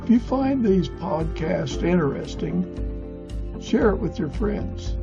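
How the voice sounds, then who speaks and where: A man's voice: sits high, lively intonation, slow pace, vocal fry, muffled recording, music in the background. A man from the United States hosting a conversational interview podcast.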